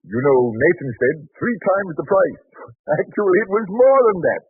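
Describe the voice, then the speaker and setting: An actor playing an eager, affable merchant in an old biblical radio drama, ingratiating and a little hesitant. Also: male voice, sits high, sing-song, moderate pace, husky, exaggerated voice. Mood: eager, persuasive. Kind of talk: 1940s radio drama acting. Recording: muffled, thin 1940s radio recording.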